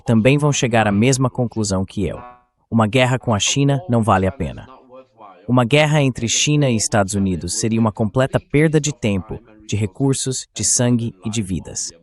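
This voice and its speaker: Low-pitched Brazilian man, emphatic. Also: a little mellow. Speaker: interview guest, dubbed into Portuguese. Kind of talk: dubbed political commentary.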